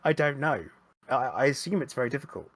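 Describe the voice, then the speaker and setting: A man, a podcast guest, talking candidly in a halting way, often repeating words and starting over. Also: mid-range voice, lively intonation, no echo, clean studio sound. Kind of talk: candid conversational chat.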